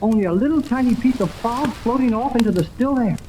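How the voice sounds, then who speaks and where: A woman playing an eager, excitable boy sidekick in a 1930s radio adventure serial, with earnest exclamations. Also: fairly high pitch, brisk, lightly acted. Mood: uneasy, awed. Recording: noisy old 1930s radio recording.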